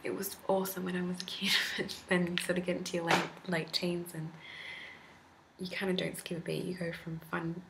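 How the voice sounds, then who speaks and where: Woman in her twenties, whispered chat, rambling, gravelly, grainy laptop recording with hiss. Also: flat delivery, brisk. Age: 20s. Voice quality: slightly deep whisper, not soft-spoken. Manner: rambling, chatty, laughs often. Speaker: ASMR creator. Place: candlelit room at home. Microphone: laptop mic.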